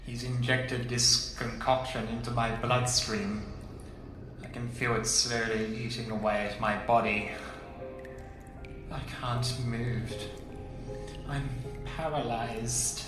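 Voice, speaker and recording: An actor playing a gentle, dying old professor in an audio drama, theatrical and wistful, with halting speech. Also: male voice, medium pitch, steady tone, close to an everyday voice. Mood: pained, weak. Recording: very echoey, with music underneath. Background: music.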